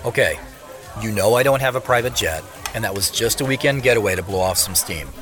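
Audio drama casting: defensive male CEO, played by an actor, lowish voice, brushing off his celebrity, music clearly underneath. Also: lively intonation, a little fast, lightly acted. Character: defensive male CEO, busy family man playing down fame.

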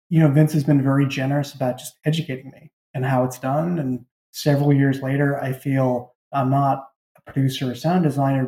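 A man's voice: mid-range voice, mellow. A man, a writer, telling his story conversationally in a casual interview. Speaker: writer and audio drama creator. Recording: roomy, echoey sound.

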